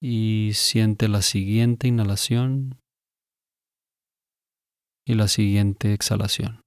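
Slow, low-pitched man guiding meditation. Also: dry recording, clean studio sound. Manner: calm, gentle instructing with long pauses. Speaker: meditation guide. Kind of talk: guided meditation.

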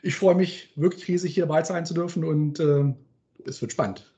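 A man's voice: a little high, moderate pace, warm tone. A man in his fifties from northern Germany, a cheerful guest chatting casually on a podcast. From southern Lower Saxony, Germany. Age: 50s. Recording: dull lo-fi sound.

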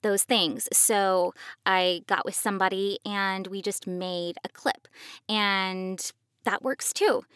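A woman's voice: mid-range voice, fairly even intonation, slow, a bit bright, smooth, dry recording, studio quality. A woman hosting a podcast, explaining things to parents in a conversational way. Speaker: podcast host and voice actor.

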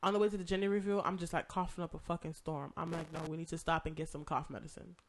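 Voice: mid-range voice, little pitch movement, fast talker, a little hiss or hum. A podcast co-host who is losing their voice to a cough, telling a long, profane story in casual chat.

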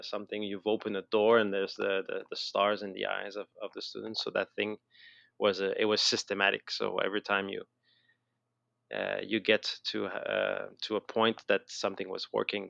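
A middle-aged man with a Quebec French accent tells his story in a casual interview, halting and often repeating words mid-sentence. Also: on the low side, creaky, sharp tone, no room sound, lo-fi sound. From Montreal, Canada. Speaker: interview guest, educator and designer.

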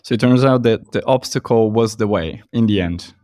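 A man hosting an interview, asking relaxed open questions and giving brief acknowledgements. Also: lowish voice, moderate pace, no room sound, clean studio sound. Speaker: podcast host.

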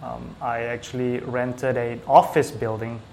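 A man from Singapore chatting casually as an interview guest, with hesitant, halting phrasing. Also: medium pitch, little pitch movement, creaky, darkish tone, slight background noise. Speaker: small business owner, IT technician, interview guest.

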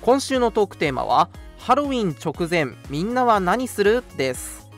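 A man's voice: high voice, very animated, normal speed, soft background music. A man hosting a casual live-streamed radio show, chatting in a jokey, teasing way and laughing often.

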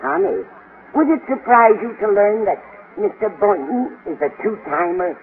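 A woman's voice: mid-range voice, animated, moderate pace, lightly acted. A woman playing a motherly, gossipy older landlady with a fussy, confiding manner in a 1950s radio comedy. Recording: tinny, noisy old radio broadcast recording.

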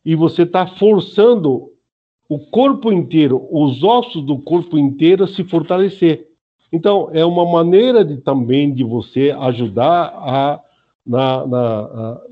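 A man's voice: fairly high pitch, lively intonation, unhurried, highs cut off. A Brazilian man in his early seventies explaining things informally in an interview, in a didactic way with frequent checking tags. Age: early 70s. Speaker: senior Aikido instructor, interview guest.

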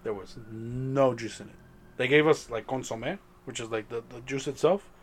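A man whose first language is Spanish, chatting loosely and profanely among friends. He talks at an ordinary mid pitch, emphatic and animated. A faint hiss of noise sits behind him. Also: normal speed. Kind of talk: casual chat among friends.

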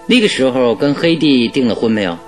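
An actor in a radio drama playing a genial, self-assured detective with a teasing, politely probing manner. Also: male voice, mid-range voice, expressive, a little fast, faint music behind, natural voice. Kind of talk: Chinese radio drama acting.

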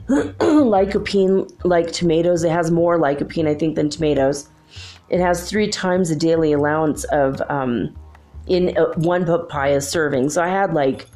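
A woman's voice: fairly low pitch, darkish tone. A middle-aged woman hosting a podcast, rambling casually with many ums and asides, a little congested from feeling under the weather. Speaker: podcast host. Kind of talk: casual solo podcast chat.

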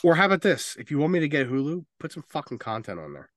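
A man's voice: on the high side, big pitch swings, fast talker, a bit bright, no room sound. Casual, sweary man, close dry sound. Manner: informal, profane, opinionated. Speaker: podcast host. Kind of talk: casual podcast chat about movies.

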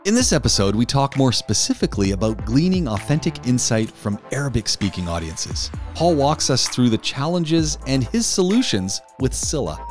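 A man hosting a marketing podcast, introducing the episode and steering the interview with a guiding, deliberate delivery. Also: medium pitch, big pitch swings, soft background music. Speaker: podcast host.

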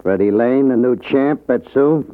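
A man's voice: mid-range voice, unhurried, lightly acted. An actor playing a bitter, drunken man bent on revenge in an old-time radio drama. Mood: grim. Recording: tinny old radio recording.

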